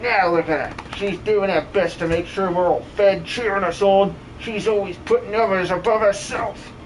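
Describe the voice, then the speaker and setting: High-pitched miner bloke acted by a man. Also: steady tone, normal speed, slight background noise, lightly acted, rough recording. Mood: earnest, warning. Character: gruff, loyal working-class male miner, protective and matey. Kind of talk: comic fan dub of a video game.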